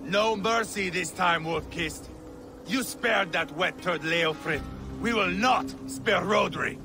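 An actor playing a fierce, volatile Viking warlord who swings between low growls and shouts. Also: male voice, slow, music in the background. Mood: vengeful. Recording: game soundtrack with ambient noise.

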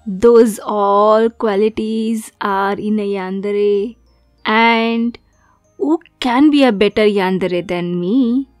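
A woman's voice: a little high, darkish tone, no room sound, studio quality. A woman playing a young, obsessive yandere girlfriend kidnapper in an ASMR roleplay, cutesy and teasing with sweet menace. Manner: slow, cutesy, teasing, breathy asides.

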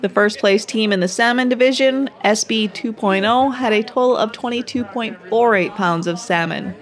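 A woman host giving upbeat, measured scripted narration. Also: fairly high pitch. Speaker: show host.

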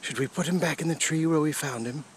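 A man hosting a playful mock wildlife documentary in a theatrical way, sometimes hushed and repeating his phrases. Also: on the high side, fast talker. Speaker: host of homemade nature show. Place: outdoors in a grassy yard by trees. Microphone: handheld phone camera, speaker behind it. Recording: outdoor phone audio with insect noise.

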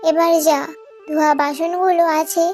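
A woman voicing an arrogant, greedy middle-aged stepmother in a cartoon, scolding loudly and sneering. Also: very high voice, steady tone, moderate pace, soft background music. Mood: bossy scolding. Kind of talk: cartoon moral-story voice acting.

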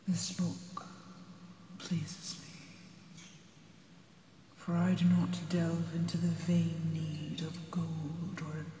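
A gentle, learned female dragon, acted out by a woman in an ASMR fantasy roleplay, speaks in hushed, breathy, formal phrases. The delivery is very slow and even in pitch, proud and measured like a patient teacher. It rings with a long cave-like reverb. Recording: cavernous reverb, distant, noisy ambience.

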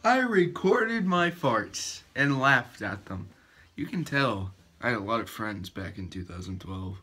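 Teen guy with a bright voice. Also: medium pitch, very animated, measured pace, breathy edge. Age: teens. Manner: self-mocking, chatty, laughing at himself. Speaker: YouTuber. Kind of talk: casual reaction vlog. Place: bedroom, indoors. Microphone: built-in webcam mic at arm's length. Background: faint background music.

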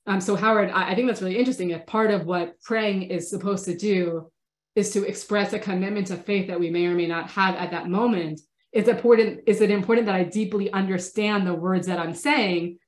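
A woman's voice: mid-range voice, steady tone, brisk. A woman in her thirties, a rabbi teaching an online class from her home study, talking in a thoughtful, casual way with many restarts, sniffly from a cold. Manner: thoughtful, hesitant restarts, warm engagement with participants. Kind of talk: casual online lecture answering chat questions. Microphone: laptop webcam video call. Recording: roomy webcam audio.

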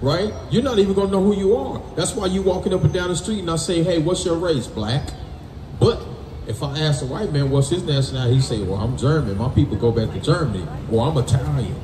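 A man's voice: on the high side, a little fast, a little mellow. An American man preaching as a street Bible teacher, emphatic and colloquial, punctuating his points with "right?" and "man". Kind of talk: call-and-response Bible teaching. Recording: distant roomy mic amid people's noise.

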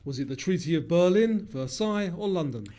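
A man narrating a scripted quiz, reading questions and answers in a measured, formal way. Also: fairly high pitch, expressive, warm tone. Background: music.

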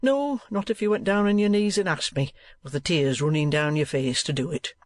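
Man acting a pompous, high-pitched oily schoolmaster. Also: moderate pace, no echo, studio quality, close to an everyday voice. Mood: self-important. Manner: pompous, oily sales patter. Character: boastful, ingratiating, hypocritical schoolmaster salesman, middle-aged man.

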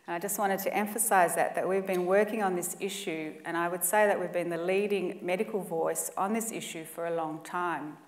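A woman's voice: fairly low pitch, steady tone, brisk, hardly any pauses, distant mic. A woman in her forties with an Australian accent, giving an emphatic, earnest presentation at a conference lectern. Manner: earnest, emphatic, builds lists for effect. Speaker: conference speaker. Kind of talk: presentation talk. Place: conference room or lecture hall, indoors. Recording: echoey hall, mic set back.

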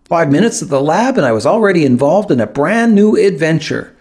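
A man narrating a first-person audiobook in an expressive storytelling manner, with dramatic pauses. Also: a little high, slightly rough. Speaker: author narrating his own audiobook.

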